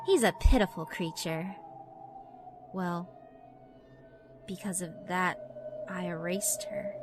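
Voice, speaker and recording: A woman's voice: a little high, lightly acted. Woman voicing a taunting, sinister yokai over music. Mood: scornful. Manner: theatrical, mock-polite, taunting rhetorical questions. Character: sinister, taunting female school yokai, cruelly amused. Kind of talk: dramatic narration of a horror visual novel.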